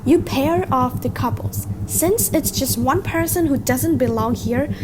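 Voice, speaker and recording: A woman plays a defiant young dancer who snaps back at the police. Her voice is high and clipped, with clear noise behind the amateur recording. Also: unhurried. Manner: sharp, defiant. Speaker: student radio play actor.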